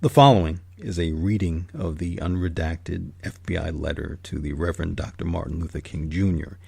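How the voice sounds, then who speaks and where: Gravelly, low-voiced male narrator. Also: normal speed, dark tone, no room sound. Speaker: host and narrator. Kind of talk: scripted documentary narration.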